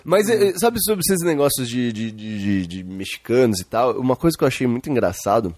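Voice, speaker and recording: A man's voice: medium pitch, fairly quick, no room sound. A young Brazilian man with a Brazilian Portuguese accent, co-hosting a podcast in casual chat and telling stories full of slang and fillers. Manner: animated storyteller, lots of slang and fillers. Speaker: podcast co-host.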